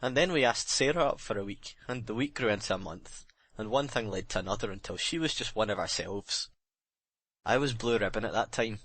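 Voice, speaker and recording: A man's voice: on the low side, fairly bright tone, no echo. A man playing a bitter, remorseful sailor making a brooding, emphatic confession in a dramatic audiobook reading. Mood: wistful.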